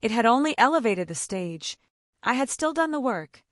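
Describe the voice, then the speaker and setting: A woman's voice: fairly high pitch, sing-song, moderate pace, no room sound, studio quality. A woman delivering dramatic first-person scripted narration for an audio story.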